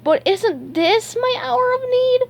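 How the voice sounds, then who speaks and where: Woman voicing an excitable high-pitched young male cartoon sponge. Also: measured pace, heavily acted. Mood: urgent, pleading. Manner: dramatic, wailing and exclaiming.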